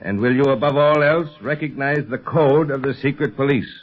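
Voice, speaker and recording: An American actor playing an earnest, commanding secret police chief in a 1930s radio serial, formal and deliberate in delivery. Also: male voice, medium pitch, faint music behind, lightly acted. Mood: solemn. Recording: dull, band-limited 1930s radio recording.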